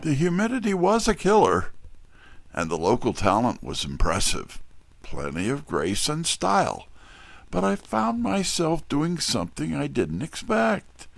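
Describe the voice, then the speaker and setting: A man playing a wry, smooth-talking private detective who narrates an audio drama. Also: on the high side, huge pitch swings, measured pace, dry recording, full character voice. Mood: smooth, charmed.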